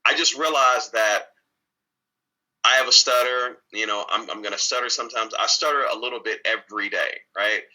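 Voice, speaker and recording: A man's voice: mid-range voice, normal speed, bright, forceful tone, rough recording. A man speaking as an interview guest in a candid conversation, reflective and earnest, often repeating words and restarting sentences. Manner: talks openly with frequent repeated words and restarts.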